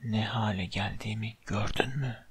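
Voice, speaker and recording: A man voicing a sinister, bodiless dark wizard in an audiobook, with a slow, menacing, hissing hush. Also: on the low side, fairly even intonation, voice pushed down. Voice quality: hushed, breathy hiss.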